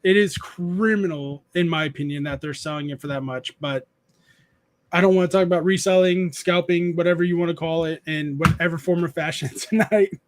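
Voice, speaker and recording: A man in his thirties hosts a relaxed evening stream with friends, talking in a noticeably high voice. He rambles, restarts his sentences and stops to read viewers' messages aloud. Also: a little fast, a little hiss or hum. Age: 30s. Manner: rambling, restarts often, reads chat aloud. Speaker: streamer host. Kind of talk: casual live-stream chat.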